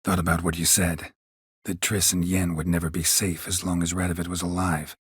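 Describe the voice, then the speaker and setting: A gruff, dry-witted monster hunter for a fantasy video game. His voice is extremely deep and heavily rasped, recorded bone-dry. He speaks in clipped, deadpan phrases with a stoic temper. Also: brisk, dry recording. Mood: dry, guarded. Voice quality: gravelly, husky low voice.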